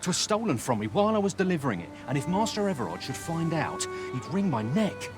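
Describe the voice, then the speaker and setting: A put-upon but hearty town merchant from a fantasy game. His voice is on the high side and swings expressively up and down. His wording is folksy and old-fashioned. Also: brisk, over music. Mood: worried. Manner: folksy, expressive, archaic phrasing. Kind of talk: scripted fantasy game dialogue.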